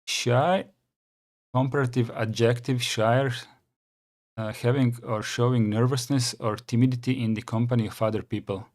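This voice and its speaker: A man's voice: medium pitch, fairly even intonation, moderate pace, a little mellow. Hesitant man with a Croatian accent. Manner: hesitant, many fillers and restarts. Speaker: channel host reacting to a video. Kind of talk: casual reaction commentary.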